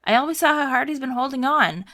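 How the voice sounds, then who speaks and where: A woman acts out a young woman who is hurt and fiercely devoted. The voice is bright and forward, insistent and full of pleading emotion, as in game dialogue read aloud. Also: sits high, animated, fast, voice pushed up.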